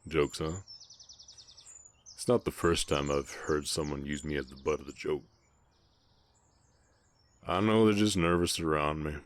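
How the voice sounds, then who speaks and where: A man voicing a big, gentle, guarded orc ranch hand with a Southern US accent, speaking politely and haltingly in an intimate Western ASMR roleplay. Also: rumbling low. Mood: resigned, plainspoken. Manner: halting, polite, soft-hearted drawl with folksy turns.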